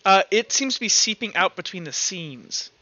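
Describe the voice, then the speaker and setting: A man with a bright, forceful, slightly high voice narrates a tabletop game as game master. His delivery is casual and halting, improvised as he goes. The sound is a bit dull and lo-fi. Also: animated, no room sound.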